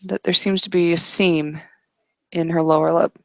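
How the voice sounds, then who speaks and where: A woman narrates an improvised roleplaying scene in a lowish voice. She is halting, pausing to choose each phrase, and the sound is close and dry. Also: steady tone, no room sound. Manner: halting, pausing to pick words. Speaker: roleplay game player.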